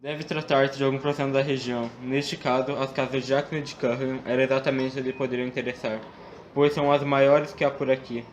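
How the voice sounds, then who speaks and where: A man's voice: mid-range voice, flat delivery, fairly quick, highs cut off, slight background noise, lightly acted. A Brazilian man voicing a serious, methodical police inspector in a student radio drama, laying out facts steadily. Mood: reporting. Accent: Brazilian Portuguese.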